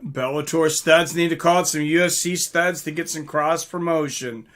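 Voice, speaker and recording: A man with a fairly high, very bright and forceful voice hosts a casual live stream. He talks informally and loosely, drifting between viewer comments and his own replies, with some mild swearing. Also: fairly even intonation, moderate pace. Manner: informal, mildly profane, rambling.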